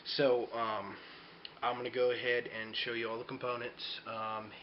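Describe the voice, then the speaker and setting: A man's voice: medium pitch, flat delivery, moderate pace. A man giving an unscripted how-to demonstration, talking in a hesitant, rambling way as he explains. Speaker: DIY tutorial presenter. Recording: dull lo-fi home video, background noise.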